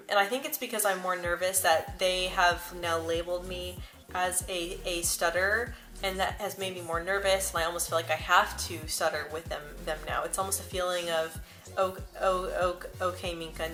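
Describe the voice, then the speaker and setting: A young woman talks casually to camera, often stuttering on sounds and whole words. Her voice is bright and sits slightly low. Soft music plays faintly behind her. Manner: earnest and personal. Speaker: vlogger. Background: soft background music.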